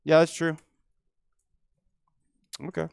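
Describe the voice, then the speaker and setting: A man, a guest player chatting casually while playing a card game, his voice going hoarse. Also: on the high side, takes it slowly. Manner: relaxed, lots of "like" and "dude".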